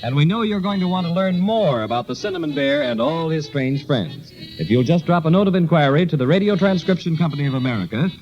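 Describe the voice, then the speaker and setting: A man's voice: fairly high pitch, sing-song, brisk, hardly any pauses, muffled recording, faint music behind. A man announcing from a script in 1930s radio style on an old transcription recording.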